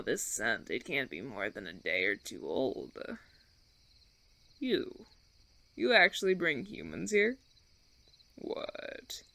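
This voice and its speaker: A man playing a suave, condescending vampire colony leader in a roleplay audio drama, theatrical and teasing, with drawn-out phrasing. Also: mid-range voice, gravelly, pushed and bright, full character voice. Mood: intrigued, accusing.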